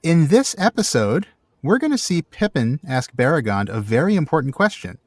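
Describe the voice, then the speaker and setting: A man's voice: fairly high pitch, sing-song, moderate pace, no echo. A man co-hosting a podcast, talking casually and playfully, with a bookish bent for explaining words. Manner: playful, jokey. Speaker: podcast co-host. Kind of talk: casual banter and word-history explanation.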